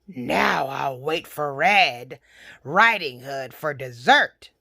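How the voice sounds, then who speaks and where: Woman voicing a slow, low, sly storybook wolf. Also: lively intonation, gravelly, bright, forceful tone, slight background noise, exaggerated voice. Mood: smug menace. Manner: slow, drawling, silky politeness. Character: smiling, sly, hungry male wolf, falsely polite.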